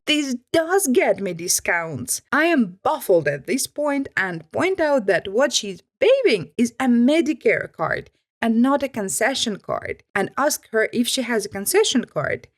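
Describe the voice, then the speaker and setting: A woman reading online stories aloud and adding her own comments. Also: on the high side. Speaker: storyteller.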